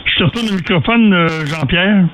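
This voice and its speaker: A man with a Quebec French accent chatting casually as an amateur radio operator, telling stories in a halting way, searching for his words. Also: high-pitched, animated, very noisy. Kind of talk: casual radio chat, storytelling.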